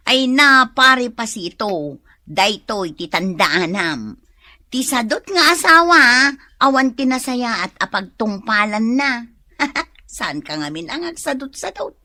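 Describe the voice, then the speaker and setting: Bright-voiced chiding neighbour. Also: female voice, fairly high pitch, sing-song, slow pace, no room sound, clean studio sound, exaggerated voice. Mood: mocking, amused. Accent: Ilocano. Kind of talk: Ilocano comedy radio drama acting.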